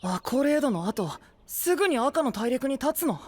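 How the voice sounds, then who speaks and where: A man's voice: extremely high pitch, very animated, moderate pace, a little mellow, big-room sound, heavily acted. An actor playing a cheeky, aloof young boy with a dry, teasing manner in an anime-style voice drama.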